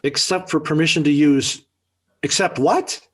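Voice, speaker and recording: A man's voice: a little high, normal speed, a bit bright, a little hiss or hum, close to an everyday voice. An actor playing a stern, sardonic middle-aged father in a 1940s radio sitcom. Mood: stern refusal. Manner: stern, dry, put-upon. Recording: vintage 1940s radio broadcast.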